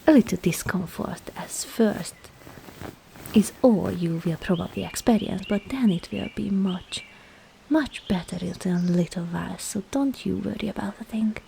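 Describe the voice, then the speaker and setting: A woman playing a sly, coaxing witch herbalist in an ASMR roleplay, speaking softly and often slipping into a whisper. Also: mid-range voice, normal speed, vocal fry, a little hiss or hum, low-quality recording. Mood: soothing, sly.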